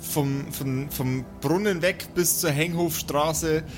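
A man's voice: a little high, takes it slowly. Male tabletop game master, mock-formal storyteller phrasing, improvised delivery over a music bed.

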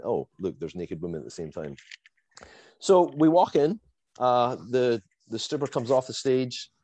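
A man with a Scottish accent, casually telling a lively story as a guest and laughing and swearing along the way. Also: lowish voice, no room sound. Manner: animated, swears, laughs while telling, acts out quotes.

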